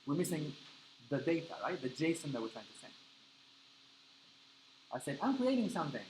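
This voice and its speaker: A man's voice: on the high side, big pitch swings, normal speed, darkish tone, a little hiss or hum, poor audio. Man teaching a coding class, always asking "right?". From Argentina. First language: Spanish. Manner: explains step by step, keeps checking with "right?". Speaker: coding instructor.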